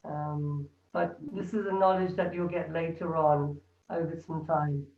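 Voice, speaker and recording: High-voiced man leading meditation over a tinny call. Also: flat delivery, unhurried. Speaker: meditation group leader. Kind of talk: guiding an online meditation session. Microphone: video call. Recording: echoey, distant, tinny video call audio.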